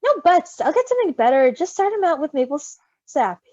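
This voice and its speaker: A woman's voice: sits high, big pitch swings, a little fast, a little mellow, no echo, lo-fi sound, pitched above the performer's own voice. A woman voicing an insistent, protective male dryad in a storybook reading. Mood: firm.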